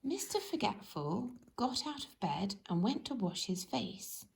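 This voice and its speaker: Woman, storyteller, children's book narration, creaky voice, medium pitch. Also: very animated, a little slow, poor audio.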